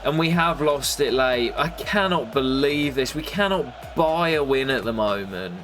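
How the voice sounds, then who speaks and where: Nasal gaming commentator. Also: male voice, measured pace, slight background noise. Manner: excitable, bursts up in pitch at goals and misses. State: nasal from a coming cold. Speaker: gaming YouTuber commentating his career mode. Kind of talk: casual play-by-play game commentary.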